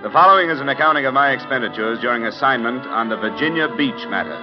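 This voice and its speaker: A man's voice: medium pitch, animated, fast talker, close to an everyday voice. An actor playing a hardboiled male insurance investigator in a 1950 radio drama, dry and sardonic. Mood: narrating. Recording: dull 1950 radio transcription with music.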